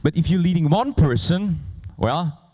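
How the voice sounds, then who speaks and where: A man who is not a native English speaker moderating a conference on stage, talking informally and playfully with a loud, projecting voice. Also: fairly high pitch, lively intonation, unhurried, dull audio. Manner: playful, bantering with audience. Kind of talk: informal live stage presentation. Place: conference stage before a large audience.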